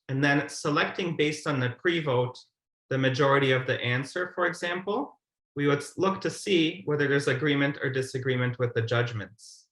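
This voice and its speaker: A man's voice: mid-range voice, bright, forceful tone. A man in his thirties giving an explanatory presentation as a webinar speaker on a video call. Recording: roomy, echoey video call.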